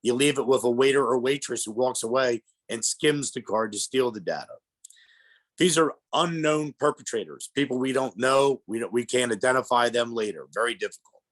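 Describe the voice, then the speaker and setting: A man's voice: medium pitch, moderate pace, a bit bright. A middle-aged man with a head cold, sounding congested, giving a plainspoken presentation to an audience. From Pennsylvania. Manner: plainspoken, explanatory, addresses audience as folks. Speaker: guest speaker on elder fraud. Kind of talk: public presentation.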